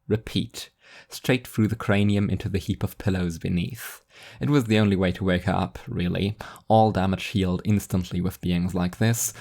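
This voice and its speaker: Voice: low voice, darkish tone. An author reading fiction as narrator, in a scripted storytelling style with a dry, wry delivery.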